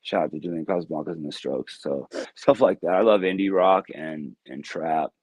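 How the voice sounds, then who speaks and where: A man with a deep voice, a music artist answering interview questions in a loose, easygoing way and laughing often. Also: normal speed, a little mellow, slightly rough.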